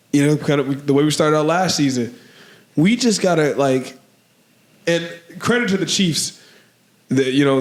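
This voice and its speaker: A man co-hosting a podcast, slightly hoarse from allergies, rambling through casual sports talk with lots of "man" and "bro". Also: on the high side, roomy sound. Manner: rambling, filler-heavy, says "man" and "bro". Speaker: podcast co-host.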